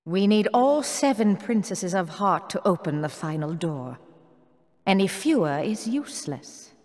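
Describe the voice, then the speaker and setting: An actress playing an imperious evil sorceress in a game, stern, measured and ominous. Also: female voice, mid-range voice, expressive, darkish tone, close to an everyday voice. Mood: cold, stern. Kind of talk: animated game villain acting. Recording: long cavernous reverb.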